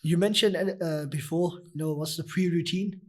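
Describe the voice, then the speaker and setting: A young man with a husky, deep voice, a nasheed reciter talking earnestly in a casual interview, full of "you know" fillers. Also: normal speed. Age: young adult. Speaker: nasheed artist guest.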